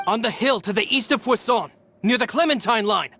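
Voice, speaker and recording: A man's voice: sits high, a little fast, sharp tone. An actor playing a dutiful, earnest male henchman reporting to his boss in a video game. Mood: urgent, earnest. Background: faint music.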